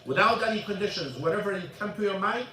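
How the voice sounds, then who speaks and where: High-voiced imam teaching in an echoey mosque. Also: male voice, animated, sharp tone. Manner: halting, repeats words, switches into Arabic. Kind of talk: informal religious lesson with questions. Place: mosque classroom. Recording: echoey room, mic at a distance.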